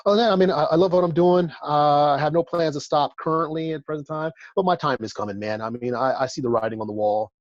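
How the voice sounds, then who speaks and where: A man's voice: fairly high pitch, fast, hardly any pauses, no echo, lo-fi sound. A man in his forties from Texas, a guest on a podcast, talking in a laid-back way and laughing easily. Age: 40s. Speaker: bodybuilder guest. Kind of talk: casual interview chat.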